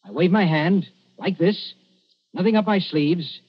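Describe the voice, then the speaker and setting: An actor playing a wily, commanding English adventurer in 1940s radio style, speaking persuasively and deliberately in simple phrases. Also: male voice, sits high, breathy edge. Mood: smooth, triumphant. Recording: muffled, noisy 1940s radio recording.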